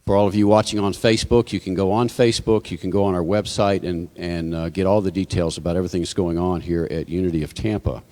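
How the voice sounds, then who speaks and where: Low-voiced man in a reverberant hall. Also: steady tone, quick pace, hardly any pauses, dark tone. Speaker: church announcer. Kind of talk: informal church announcements to a congregation. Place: church sanctuary, live service. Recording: hall reverb from sound system.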